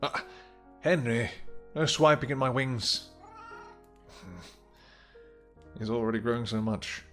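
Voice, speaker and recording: A man playing a tender, regal vampire king in a romantic fantasy audio roleplay, speaking softly and courteously with a halting delivery. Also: mid-range voice, faint music behind. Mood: gentle, earnest. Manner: soft, courtly, hesitant, halting phrasing. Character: tender male vampire king, winged, regal and devoted lover.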